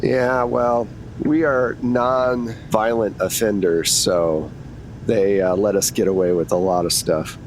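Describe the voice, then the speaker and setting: A man's voice: steady tone, slow pace, poor audio. A man voicing a chatty shoplifter woman in her late sixties in a tabletop game, rambling along matter-of-factly. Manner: casual, rambling, matter-of-fact. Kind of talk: improvised tabletop roleplay. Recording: rumbly background noise.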